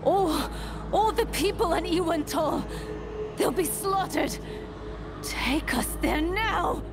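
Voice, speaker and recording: An actress playing a defiant, compassionate champion of her people in a fantasy game, speaking in a deliberate, dramatic way. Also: very high-pitched, heavily acted. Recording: music behind the voice.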